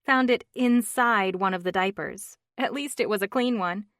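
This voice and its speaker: A woman voicing an excitable young woman friend chatting on the phone, gushing and teasing, in a dry, close reading. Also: medium pitch, normal speed, no echo, close to an everyday voice. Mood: excited.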